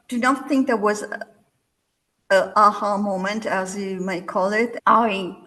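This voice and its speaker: A woman, a literary translator answering interview questions in a slow, measured way with pauses. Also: mid-range voice, fairly bright tone, rough recording.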